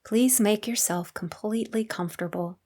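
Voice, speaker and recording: A woman leading a guided meditation as a teacher, speaking in a calm, unhurried, soothing way with long pauses. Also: mid-range voice.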